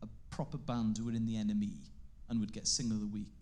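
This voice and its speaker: A man's voice: fairly low pitch, flat delivery, fast talker, mellow. A Welsh man in his forties whose first language is Welsh, a comedian guest telling stories in casual chat and laughing often. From Wales. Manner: chatty storyteller, laughs often.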